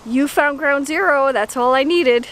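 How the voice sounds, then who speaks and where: A woman's voice: sits high, measured pace, fairly bright tone. A middle-aged woman making brief cheerful asides while out geocaching, heard from a few steps off a handheld camera. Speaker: geocaching partner. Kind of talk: casual remarks during a hike. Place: outdoors on a bridge and wooded trail. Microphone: camera held by someone else, a few steps off. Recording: off-mic outdoors with clear ambient noise.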